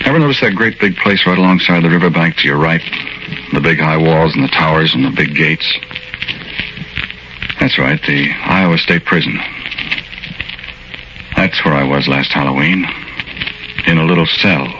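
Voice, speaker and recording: Death-row prisoner, very deep voice, wry and resigned, old muffled radio sound. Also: male voice, moderate pace, pauses often, background noise. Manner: dry, resigned storytelling. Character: condemned man on death row, weary, wry. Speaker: narrator of radio drama. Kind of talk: 1940s radio acting. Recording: muffled 1940s radio recording.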